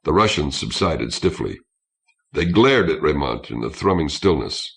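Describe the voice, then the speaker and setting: A man's voice: a bass voice, sing-song, moderate pace, vocal fry, a bit bright. A man reading a science fiction novel aloud as scripted audiobook narration.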